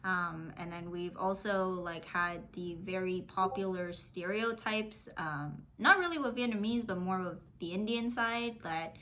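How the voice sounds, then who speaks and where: A woman's voice: fairly low pitch, measured pace, hardly any pauses, fairly bright tone. A young woman in her twenties, from Canada, chatting casually as an interview guest. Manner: chatty, many fillers.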